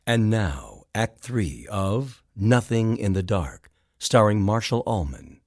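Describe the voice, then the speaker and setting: A man's voice: fairly low pitch, expressive, slow pace. A man announcing a radio drama in a scripted style. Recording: loud music under the voice.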